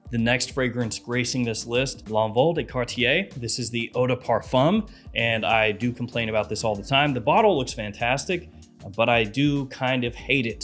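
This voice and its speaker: A man in his thirties with a head cold, chatting animatedly as he reviews fragrances in a small room. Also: medium pitch. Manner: chatty, animated, gesturing with hands. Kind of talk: casual video review. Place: small room with lit shelves of bottles.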